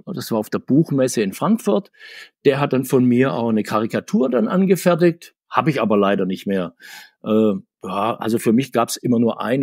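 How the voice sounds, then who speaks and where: A man's voice: mid-range voice, darkish tone, husky, no room sound, studio quality. A middle-aged man telling anecdotes as an interview guest, chatty and digressive, often restarting his sentences. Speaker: podcast guest, comics author.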